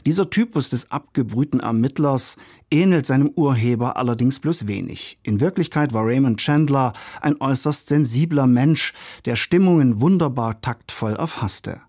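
A man's voice: mid-range voice, animated, normal speed, darkish tone, no echo. Formal man narrating, dry studio sound. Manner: formal, literary phrasing. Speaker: radio reviewer. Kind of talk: scripted radio feature narration.

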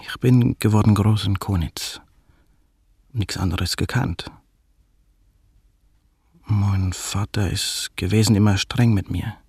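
Butcher's son: very husky, low, subdued radio monologue, close dry sound. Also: male voice, steady tone, darkish tone, dry recording, heavily acted. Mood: reflective, subdued. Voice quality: gravelly, husky. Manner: slow halting phrases with Yiddish-influenced word order. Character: butcher's son recalling his life, subdued, plainspoken.

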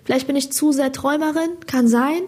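A young woman from Hesse with a Hessian accent, a stand-up comedian chatting in an interview, animated and slangy, often asking "you know?". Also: sits high, brisk. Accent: Hessian German. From Hesse, Germany. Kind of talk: casual interview chat.

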